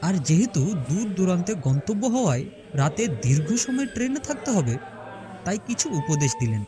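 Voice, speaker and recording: A man's voice: high voice, sing-song, normal speed. A young man playing a polite, eager train ticket inspector, narrating a scripted first-person ghost story expressively over music. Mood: reflective. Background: background music.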